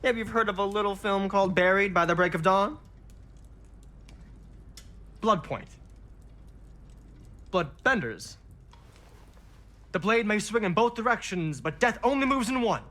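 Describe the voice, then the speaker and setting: A man's voice: sits high, lively intonation, a little slow, long pauses, fairly bright tone. Effusive, theatrical male superfan. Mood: eager, admiring. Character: gushing, wealthy, chatty young male film superfan. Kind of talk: video game cinematic acting.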